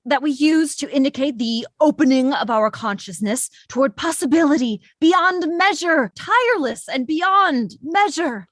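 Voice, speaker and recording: Woman acting a high-voiced grave absurdist interrogator. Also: expressive, no room sound, studio quality. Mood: questioning, provoking. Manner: theatrical, deliberate, enunciated. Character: grave, provoking female interrogator in absurdist radio play. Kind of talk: theatrical radio play recitation.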